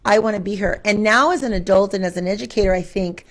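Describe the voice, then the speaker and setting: A woman's voice: mid-range voice, fast. A woman answering interview questions as a guest, a schoolteacher who talks reflectively and expressively in a conversational way. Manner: reflective, expressive, quotes her own thoughts and asks rhetorical questions.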